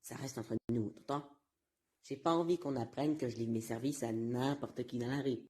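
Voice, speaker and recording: A husky, brash male cartoon street hustler acted out by a man, teasing and sarcastic in his flirting. The pitch sits in the middle for a man. It sounds like an echoey amateur dub made at home. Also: fairly even intonation, normal speed, darkish tone, lightly acted. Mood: sly, hushed bravado.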